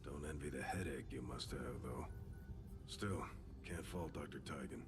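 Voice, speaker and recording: Game war veteran, extremely deep voice, gruff, weary, terse, loyal, loud music behind. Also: male voice, unhurried. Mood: relieved, weary. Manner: terse, clipped, understated. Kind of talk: scripted video game cutscene acting.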